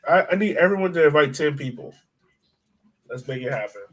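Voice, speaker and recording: Higher-pitched man chatting on a sports podcast. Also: expressive, brisk. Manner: informal, enthusiastic fan talk. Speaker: podcast co-host. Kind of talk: casual sports podcast chat.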